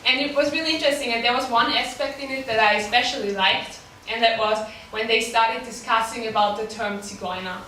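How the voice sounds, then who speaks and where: A young Austrian-accented woman with a somewhat high voice gives an earnest, prepared talk, pausing on frequent ums. Her tone is bright and clear. The recording is distant and very echoey, with faint room ambience. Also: steady tone, slight background noise. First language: German. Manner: earnest with light laughs and many ums. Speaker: staff writer.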